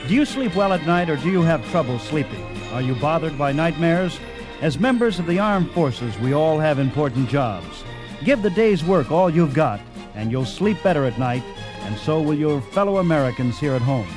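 Male radio host, somewhat high voice, scripted announcer delivery over prominent music. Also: expressive, moderate pace, rough recording.

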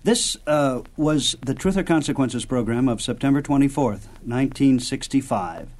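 A man's voice: mid-range voice, lively intonation, moderate pace, a little mellow. An American man hosting a radio show, interviewing a guest in a conversational style.

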